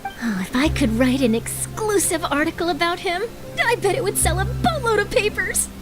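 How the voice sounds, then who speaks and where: An eager young newswoman from a video game, speaking in a very high, bubbly voice. Her delivery is nosy and theatrical, leaping up and down in pitch. Music runs clearly behind her. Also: expressive, moderate pace. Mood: persuasive, gushing. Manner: bubbly, dramatic, gushing. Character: eager young female newspaper reporter, perky, nosy, theatrical. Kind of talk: animated video game voice acting.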